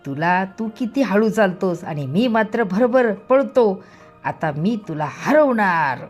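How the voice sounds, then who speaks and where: A boastful young male hare from a children's tale, acted out by a woman storyteller. He teases and gloats in an unhurried delivery. Music plays behind the voice. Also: medium pitch, animated. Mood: gleeful mocking. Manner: teasing, giggling boasts.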